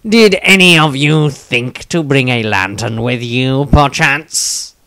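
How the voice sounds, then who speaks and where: A man's voice: mid-range voice, takes it slowly, sharp tone, clean tone, no echo. A man voicing a smug, sceptical scholar in a fantasy audiobook, pointed in manner.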